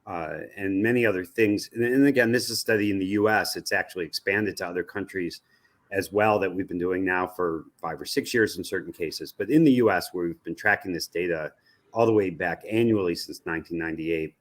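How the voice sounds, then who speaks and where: An American man giving explanatory interview answers as an expert guest, measured and often halting to rephrase himself. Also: deep voice. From United States. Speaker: interview guest, research company president.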